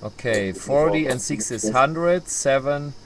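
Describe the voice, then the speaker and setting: Halting man in his forties. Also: mid-range voice, slight background noise. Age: 40s. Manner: brief backchannel, halting non-native English, laughs easily. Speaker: interviewer.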